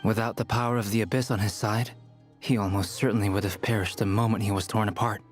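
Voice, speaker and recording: A calm male scholar from a fantasy game acted by a man talks in measured, formal phrases. His voice is slightly low, over faint music. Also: close to an everyday voice. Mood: calm, analytical.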